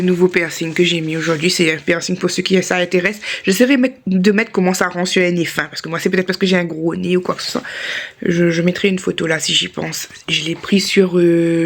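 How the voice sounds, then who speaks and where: A woman's voice: fairly low pitch, darkish tone. A woman with a sore throat, chatting casually to camera in a rambling vlog with many restarts. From Réunion. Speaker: vlogger.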